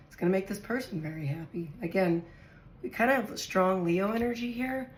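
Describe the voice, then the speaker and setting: A woman with a hoarse, raspy voice from a virus, chatting casually as she reads tarot for her viewers and thinks aloud while drawing cards. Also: mid-range voice, fairly quick. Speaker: tarot reader. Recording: echoey room with faint noise.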